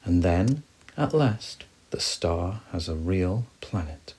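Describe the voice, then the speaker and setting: A man gently reading a bedtime story for children, expressive and soft. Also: low-pitched, slow, breathy edge.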